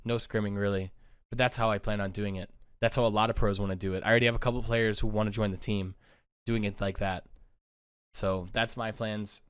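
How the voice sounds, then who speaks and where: Young male vlogger, low voice, smooth and clear, flat and downcast delivery, dry close mic. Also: brisk, no echo. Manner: subdued, low-energy, self-described monotone. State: sad, downcast. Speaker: YouTuber and pro gamer. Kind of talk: casual vlog talk to camera.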